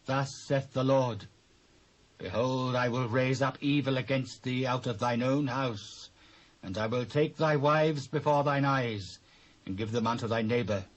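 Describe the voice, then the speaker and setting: A British actor playing a stern, solemn prophet in a dramatised Bible reading, speaking in measured, declamatory phrases. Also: male voice, medium pitch, close to an everyday voice, low-quality recording. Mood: stern, accusing.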